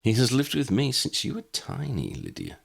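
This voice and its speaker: A man voicing a calm, courteous old man in an audiobook, speaking in a measured, formal and unruffled way. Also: medium pitch, creaky, slightly rough, voice pushed up, full character voice. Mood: gently reassuring. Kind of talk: audiobook character voice.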